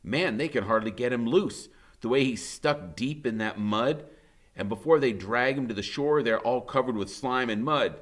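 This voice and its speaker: A man's voice: mid-range voice, moderate pace. A man reading a novel aloud as dramatic first-person narration, emphatic and suspenseful.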